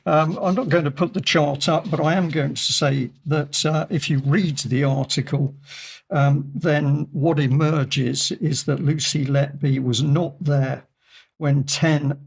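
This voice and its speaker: Croaky, gravelly man giving measured commentary. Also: a little high, steady tone. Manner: measured, deliberate, many fillers. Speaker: regular commentator. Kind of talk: discussion commentary reading from articles. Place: studio.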